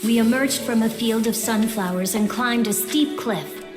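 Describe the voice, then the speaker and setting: An actress voicing an earnest, wistful young boy in an anime audio drama, speaking in a solemn, deliberate dramatic style. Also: fairly high pitch, lightly acted. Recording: loud music under the voice. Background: loud music.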